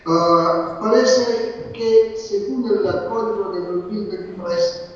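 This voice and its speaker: A man from Italy with an Italian accent, giving an academic talk in careful, halting non-native speech. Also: high-pitched, huge pitch swings. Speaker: conference speaker. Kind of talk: academic talk over video link. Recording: echoey distant lo-fi video call.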